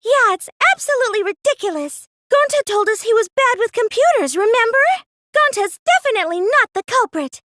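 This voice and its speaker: A woman's voice: very high-pitched, lively intonation, a little fast, fairly bright tone, dry recording, clean studio sound. A woman playing a timid, earnest, nerdy teenage girl in an English video game dub, hesitant and prone to stammering when flustered. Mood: indignant, insistent.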